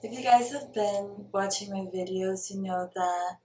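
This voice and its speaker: Young woman vlogger from Brazil, earnest and reflective, mid-pitched, roomy off-mic sound. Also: unhurried, darkish tone, far from the mic. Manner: earnest and searching, stops to look for words. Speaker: spiritual vlogger. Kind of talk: reflective personal talk to camera.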